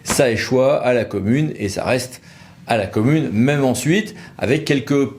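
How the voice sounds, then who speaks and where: A man's voice: medium pitch, a little slow, darkish tone, a little hiss or hum. A man presenting as a legal expert, explaining in an informal, conversational way with wry, colloquial asides. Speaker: legal expert from a law firm presenting a video.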